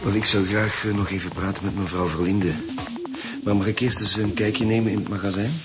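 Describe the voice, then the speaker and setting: A man's voice: fairly low pitch, steady tone, fairly quick, music in the background, natural voice. A man with a Flemish accent playing a blunt, suspicious chief police detective in a naturalistic radio crime drama. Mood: businesslike. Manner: blunt, probing, authoritative.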